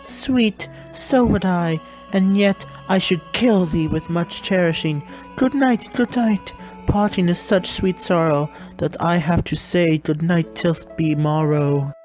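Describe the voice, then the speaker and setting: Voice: slow, darkish tone, faint music behind, close to an everyday voice, rough recording. A performer voicing a lovestruck, melodramatic young woman in a comic Shakespeare dub, gushing theatrically. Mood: fond, reluctant. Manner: theatrical, gushing, swooping into shrill calls.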